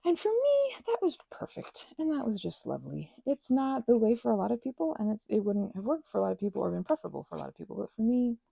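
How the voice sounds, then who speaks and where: A woman hosting an ASMR channel, rambling in a chatty, giggly way with lots of fillers and a breathy voice that slips into whispers. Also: fairly high pitch, huge pitch swings, quick pace, few pauses, dark tone, no room sound. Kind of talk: soft-spoken rambling chat.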